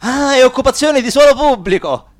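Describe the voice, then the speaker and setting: A man's voice: very high-pitched, expressive, a little fast, dry recording, clean studio sound. A man acting an impassioned, defiant young activist in a radio play, declaiming with indignation. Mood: agitated, rallying. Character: impassioned young Sicilian anti-mafia activist, defiant, mocking, poetic. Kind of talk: radio theatre acting and denunciation.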